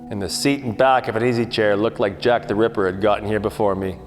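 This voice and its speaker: An actor playing a wisecracking, world-weary 1940s private eye, narrating in a wry, hard-boiled radio-parody style. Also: male voice, fairly low pitch, steady tone, fast, lightly acted. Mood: wry, tense. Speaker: actor narrating the lead part. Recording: long hall reverb, music underneath.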